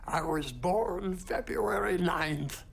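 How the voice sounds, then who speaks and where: A frail ancient man in a film drama, with an extremely gravelly, slow voice. He is muddled and forgetful, halting and muttering as he insists on himself. Faint noise sits behind him. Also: sits high, exaggerated voice. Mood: bewildered.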